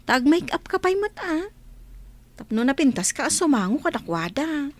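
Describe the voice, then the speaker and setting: Woman acting a cheery chatty young gal, high pitched, super lively, audio drama. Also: moderate pace. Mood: bubbly.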